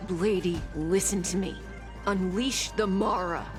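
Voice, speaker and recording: A woman playing a calm, seductive manipulator in a dramatic video game, coaxing and breathy with hypnotic command. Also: lowish voice, measured pace, over loud music, lightly acted.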